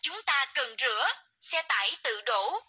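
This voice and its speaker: A woman's voice: high-pitched, lively intonation, measured pace, very noisy. A woman narrating scripted, encouraging instructions for a children's game.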